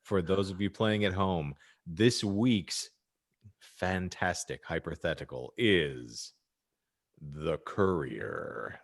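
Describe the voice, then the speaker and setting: A man's voice: sits low, takes it slowly, gravelly. A man hosting a comedy podcast, casually chatting and reading out a scenario with dry, mock-formal phrasing. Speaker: podcast host.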